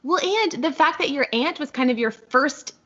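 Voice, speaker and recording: A woman's voice: sits high, lively intonation, moderate pace, sharp tone, muffled recording. A woman hosting an interview series, upbeat and effusive with praise for her guest. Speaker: host.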